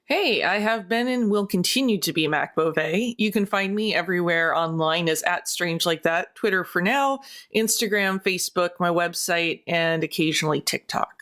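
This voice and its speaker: A woman's voice: fairly low pitch, moderate pace, hardly any pauses, a bit bright. A woman speaking casually as a cast member on a podcast. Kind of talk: casual chat.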